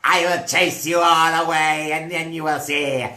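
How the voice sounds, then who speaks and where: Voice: medium pitch, steady tone, measured pace, pushed and bright, echoey room. A storyteller voicing a bossy, mean male snake-crocodile king in a children's story, gloating and laughing.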